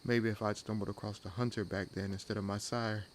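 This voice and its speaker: A man playing a wry, world-weary vampire hunter in an intimate audio roleplay, teasing and sardonic, with unhurried pauses. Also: fairly low pitch, fairly even intonation, a little hiss or hum, natural voice. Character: wry, world-weary one-armed male vampire hunting his own kind. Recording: nature ambience laid under voice. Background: forest ambience with crickets and insects.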